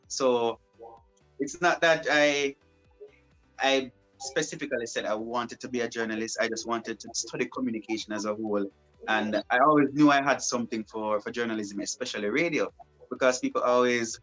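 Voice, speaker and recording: A man's voice: medium pitch, a bit bright, rough recording. A young man, a broadcast journalist, answering interview questions casually and often repeating and restarting his phrases. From Jamaica.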